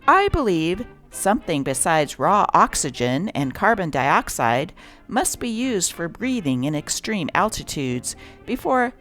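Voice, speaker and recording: A woman reading a script aloud as host and narrator, with a theatrical delivery. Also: fairly low pitch.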